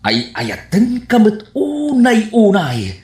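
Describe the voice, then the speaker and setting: A man with an Ilocano accent playing a gullible, lovestruck, excitable suitor in a comedy radio drama. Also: sits high, takes it slowly, exaggerated voice. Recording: roomy, echoing radio drama sound.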